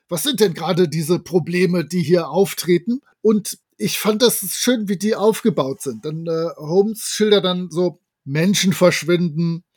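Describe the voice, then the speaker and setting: A man co-hosting a podcast, casually retelling and discussing a story with enthusiasm. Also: high voice. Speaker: podcast co-host.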